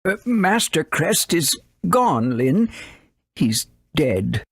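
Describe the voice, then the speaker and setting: A man voicing a fussy, caring elderly owl with a raspy voice and theatrical delivery in a video game. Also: fairly high pitch, very animated, very slow, no room sound, studio quality, exaggerated voice. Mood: grave, sorrowful. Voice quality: raspy, creaky.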